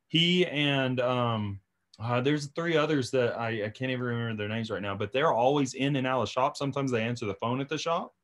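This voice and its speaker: A man's voice: medium pitch, a little fast. A man with a mid-range voice hosts a podcast. He chats casually and leans on words for emphasis, repeating them and tagging on little check-ins as he goes. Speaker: podcast host.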